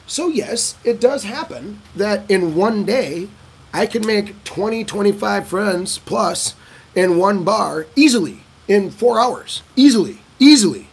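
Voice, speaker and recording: A man casually answering viewer questions in a vlog, telling stories in an animated way and acting out other people's lines. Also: unhurried, creaky, slightly rough. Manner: animated storyteller who acts out locals' lines in a higher voice. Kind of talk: casual talking-head Q&A.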